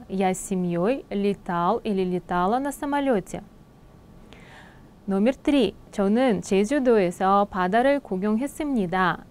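A woman's voice: mid-range voice, darkish tone, slight background noise. Woman teaching Korean in Russian. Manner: reads Korean sentences quickly, then translates slowly into Russian. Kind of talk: exam prep lesson.

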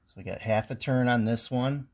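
A man's voice: mid-range voice, moderate pace. A man giving a casual, plainspoken technical how-to while working on an engine in a garage, filmed close on a handheld phone, with frequent ums and restarts. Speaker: home mechanic. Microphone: handheld phone camera close over engine.

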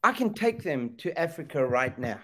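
A man's voice: fairly high pitch, big pitch swings, moderate pace, pushed and bright. A middle-aged man from Zambia, speaking with preacher's emphasis on a video call, repeating phrases and asking rhetorical questions. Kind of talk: impassioned preaching talk. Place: indoor room, video call. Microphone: webcam video call.

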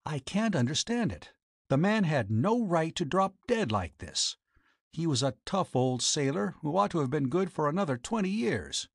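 Guy doing a young doctor in a storybook, voice pitched up, brisk n puzzled, very animated. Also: creaky, husky, no echo, clean studio sound, heavily acted. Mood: resentful, baffled. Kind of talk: audiobook character voice.

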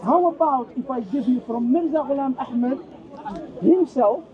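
A man's voice: very high voice, normal speed, phone-quality sound, crowd noise. A man whose first language is Arabic, pressing a visitor with insistent, probing questions in an outdoor street debate. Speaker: Islamic debater. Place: outdoor park.

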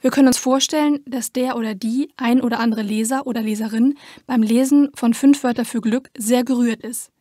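A woman's voice: on the high side, steady tone, brisk, hardly any pauses, mellow, no echo, studio quality. A woman interviewer putting prepared questions to a guest.

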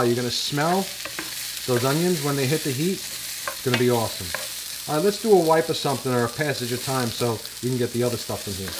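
A middle-aged man hosting a home cooking demonstration in a casual, chatty way with wisecracking asides. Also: mid-range voice. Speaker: home cooking show host. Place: home kitchen indoors. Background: guitar music.

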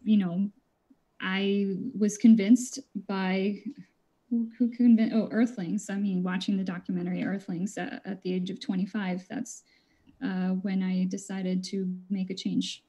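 Mellow-voiced woman from Costa Rica. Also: mid-range voice, flat delivery, unhurried. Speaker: debater. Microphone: webcam video call.

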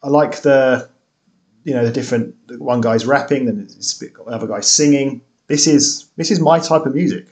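A British man in his thirties hosting a music reaction video from a small room at home, relaxed and hesitant, with many ums. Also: medium pitch, normal speed. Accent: British English. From Britain. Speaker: reaction channel host. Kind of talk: casual reaction chat.

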